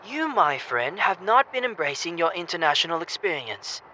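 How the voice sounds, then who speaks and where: A man's voice: a little high, sing-song, normal speed, pushed and bright, slight background noise, heavily acted, rough recording. A young man playing a cocky, boisterous student wingman in an audio drama, brash, teasing and pushy. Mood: brash, persuasive.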